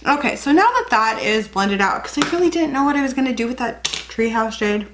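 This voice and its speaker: A woman's voice: fairly high pitch, lively intonation, fairly quick, noisy background. A young woman with a slight cold, chatting and rambling through a casual makeup tutorial as a beauty vlogger. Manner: chatty, rambling, self-correcting.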